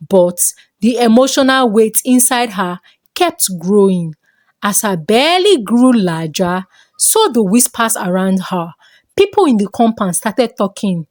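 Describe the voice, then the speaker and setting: A woman with a Nigerian accent reads a scripted folktale in a dramatic, expressive storytelling manner. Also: medium pitch, unhurried, dry recording, studio quality.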